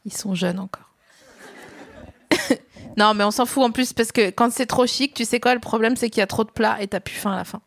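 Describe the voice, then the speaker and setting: A woman's voice: on the high side, moderate pace, creaky, pauses often. A woman hosting a casual chat show, joking and teasing her guests. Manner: playful, joking, relaxed. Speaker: host.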